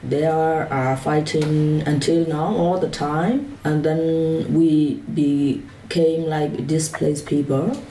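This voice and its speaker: Low-voiced Burmese-accented woman in an echoey room. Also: steady tone, slow pace, hardly any pauses, mellow. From Burma, Karen community. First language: Karen. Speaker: interview guest. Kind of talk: personal life-story interview. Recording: roomy echo, distant mic, faint noise.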